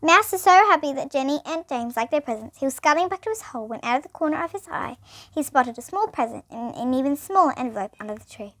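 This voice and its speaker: A woman's voice: sits high, animated, fast talker, fairly bright tone. A young girl reading a children's bedtime story aloud, expressively and with small stumbles. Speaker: storybook reader.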